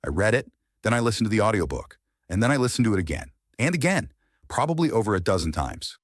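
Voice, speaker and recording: A man in his thirties whose first language is Farsi, a meditation teacher and life coach telling his personal story in a reflective, animated way. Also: lowish voice, fast talker, dry recording, clean studio sound. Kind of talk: personal storytelling podcast monologue.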